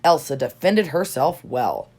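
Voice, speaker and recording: Female children's storybook narrator, slightly low voice, very animated intonation, dramatic emphasis. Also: a little slow, husky. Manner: dramatic emphasis on key words. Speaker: storybook reader. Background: soft music.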